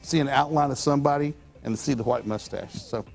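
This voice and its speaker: An older man with a hoarse, worn voice speaks in a relaxed, folksy manner and rambles easily from one story to the next. He sounds like a studio show host chatting about his hobby, with faint music in the background. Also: mid-range voice, brisk. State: hoarse from talking all weekend. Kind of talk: casual studio chat. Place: studio with telescopes on display.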